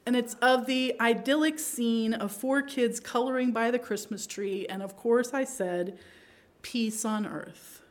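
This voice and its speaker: A woman's voice: fairly high pitch, fairly even intonation, measured pace. A middle-aged woman who grew up in Pakistan gives a sermon in a conversational style and often checks in with the listeners. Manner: conversational, rhetorical tags. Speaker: preacher.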